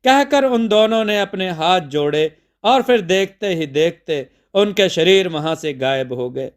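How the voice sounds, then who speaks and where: A male storyteller with a distinctly high, smooth voice narrates a mythological tale. His phrasing is measured and deliberate, and the delivery is clean and resonant. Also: lively intonation.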